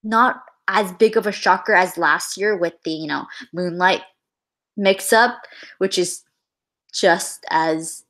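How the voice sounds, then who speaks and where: A woman's voice: lowish voice, slow pace, a bit bright. A young woman hosting a casual film livestream, chatty and gushing, with lots of fillers and sighs.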